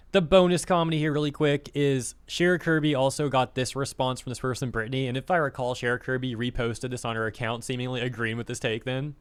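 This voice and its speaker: Man, casual commentary rant, scornful, filler-heavy, slightly hoarse, mid-pitched, smooth tone. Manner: scornful, filler-heavy, talks fast in bursts. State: slightly hoarse, losing his voice. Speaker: vtuber commentator.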